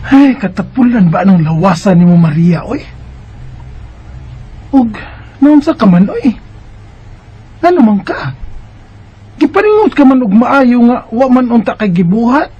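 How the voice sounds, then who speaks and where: A woman with a Cebuano Bisaya accent playing a concerned, nagging, skeptical mother in a radio drama, with a husky voice. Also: a little high, lively intonation, measured pace, pauses often, warm tone, highs cut off. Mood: scolding. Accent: Cebuano Bisaya Filipino. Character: concerned, scolding, skeptical mother of a teenage girl.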